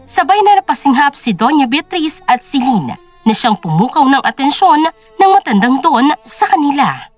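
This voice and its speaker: A woman's voice: sits high, very animated, moderate pace, soft background music. A woman narrating a scripted story as a dramatic audio drama.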